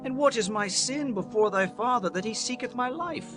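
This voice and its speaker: A man's voice: extremely high pitch, moderate pace, over music. A man playing an earnest, pleading young man of ancient Israel in a dramatized Bible reading with archaic diction. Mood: anxious, pleading. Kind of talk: dramatized scripture acting.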